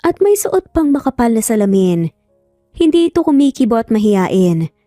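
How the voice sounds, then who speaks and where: A woman's voice: on the high side, big pitch swings, moderate pace, dark tone, no echo, studio quality, faint music behind. A Filipino woman narrating a scripted romance story.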